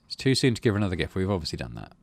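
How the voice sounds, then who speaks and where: A man's voice: sits low, very animated, very fast, dry recording. A middle-aged man giving casual game commentary, muttering drily to himself and reading bits of narration aloud. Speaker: gaming channel host.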